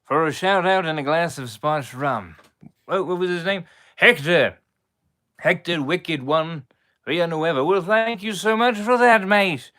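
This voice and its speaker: A man doing live-stream shout-outs in a theatrical, playful pirate drawl with a put-on pirate English accent. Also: on the high side, measured pace. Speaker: impressionist streamer.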